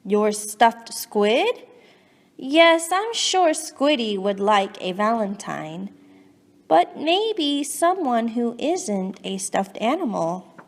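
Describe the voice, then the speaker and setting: A woman's voice: fairly high pitch, slow. A woman reading a children's picture book aloud expressively, coaxing and reacting as if chatting with the character. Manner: animated, coaxing, exaggerated reactions. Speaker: children's storybook reader. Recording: long hall-like reverb.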